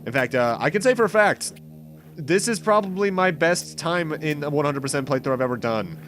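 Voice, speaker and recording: A man's voice: on the high side, expressive, fairly quick, a bit bright. A man giving casual, rambling let's play commentary in a chatty, mildly wry way. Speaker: gaming commentator, host. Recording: game music behind the voice. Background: video game music.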